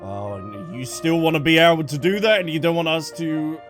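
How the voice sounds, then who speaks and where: Casting: male streamer, slightly high voice, informal playthrough chatter, joking and reactive. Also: normal speed. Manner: playful, jokey, reacts out loud. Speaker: game streamer. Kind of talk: casual playthrough commentary. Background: game music.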